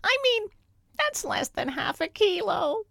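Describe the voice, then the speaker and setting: A woman voicing a tiny, proud female monster in a children's story, squeaky and earnestly protesting. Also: very animated, measured pace, creaky, a bit bright, no echo, studio quality, exaggerated voice. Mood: indignant. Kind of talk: children's storybook character reading.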